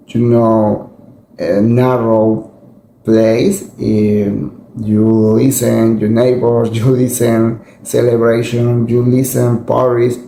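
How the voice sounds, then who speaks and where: A man's voice: medium pitch, vocal fry, mellow, a little hiss or hum. A man from Mexico whose first language is Spanish, speaking English with a Mexican Spanish accent. He gives casual advice, searches for words and often checks in with "yes?" and "believe me". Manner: halting, searching for English words, frequent fillers and restarts. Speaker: immigration video host. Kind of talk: casual advice talk to camera. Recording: echoey room with faint background ambience.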